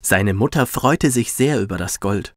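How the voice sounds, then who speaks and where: A man gently and expressively narrating a scripted bedtime story for children, as a professional reader. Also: lowish voice, expressive, moderate pace, no echo, clean studio sound.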